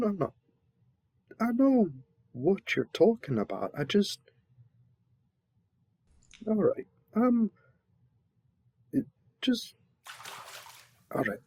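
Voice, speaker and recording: Roleplay audio: polite, flustered male envoy, played by a man, stammering and deferential, very unhurried, dry sound. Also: medium pitch, darkish tone, dry recording. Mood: flustered, protesting. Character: flustered, polite young royal envoy mistaken for a servant.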